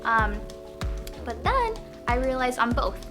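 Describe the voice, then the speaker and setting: A woman's voice: fairly high pitch, takes it slowly. A woman speaking reflectively in an interview, her voice rising sharply on questions. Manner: reflective, lively rises on questions. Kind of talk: interview testimony. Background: background music.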